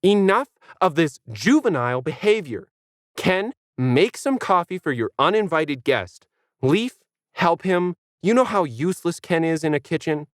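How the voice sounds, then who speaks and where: A man's voice: on the high side, sing-song, measured pace, no echo, voice pushed up. A haughty she-dog who talks and gives the orders acted by a man in a science fiction story. The delivery is indignant and commanding, with very varied intonation and a dry, close sound. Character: bossy, haughty female talking alien dog.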